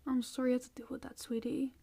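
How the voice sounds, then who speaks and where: A nurturing vampire mother, acted by a woman, speaks to her child in a slightly high voice. She is gentle, coaxing and concerned, yet firm, in the style of an audio roleplay. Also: little pitch movement, fast, creaky, breathy edge, full character voice. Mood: sympathetic concern. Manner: soft-hearted, coaxing, parental.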